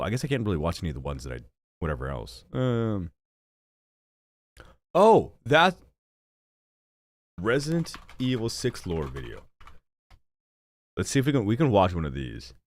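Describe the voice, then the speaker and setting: Young man, deep voice, lively pitch swings, stuffy nose, rambling self-mocking stream chat, dry close mic. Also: dry recording. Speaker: streamer and gaming channel host. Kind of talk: casual live-stream chat.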